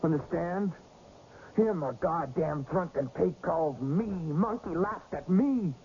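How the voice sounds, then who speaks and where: A bitter, volatile character in a 1980s radio drama. His voice sits fairly high and jumps around in pitch, insistent and defiant but soft-edged in tone. The old recording is muffled and slightly noisy. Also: animated, slow. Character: resentful, volatile man with a newfound psychic gift.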